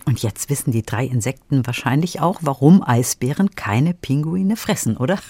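A woman's voice: very deep voice, animated, normal speed, mellow, breathy edge, no room sound. A woman hosting a radio review programme, chatting with a critic and reading credits. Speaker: radio host. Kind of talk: review conversation and scripted sign-off.

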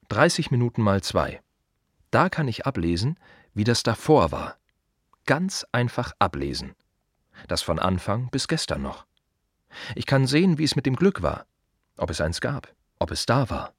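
An actor playing a blunt, brooding gravedigger in a radio drama monologue, speaking colloquially in short, repeated, emphatic phrases. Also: male voice, on the low side, no echo, clean studio sound. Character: blunt, brooding male gravedigger musing on life and death.

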